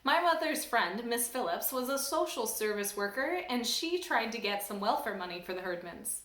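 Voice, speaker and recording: A high-voiced woman reads a children's chapter book aloud with animated expression. The echo suggests a roomy space. She reads as a member of a church staff. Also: normal speed. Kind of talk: storybook read-aloud.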